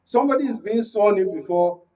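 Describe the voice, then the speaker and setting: A man with a Nigerian accent speaking as a guest from the creative industry in a political panel discussion, deliberate and emphatic, often repeating phrases. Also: sits high, expressive, dark tone. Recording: muffled, distant-mic, lo-fi studio pickup.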